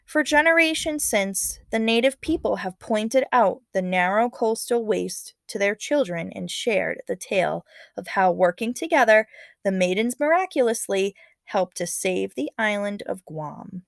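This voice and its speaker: A woman's voice: mid-range voice, expressive, a little slow, vocal fry, dry recording, studio quality. A woman reading a story aloud as a teacher, expressively, with casual, encouraging comments along the way.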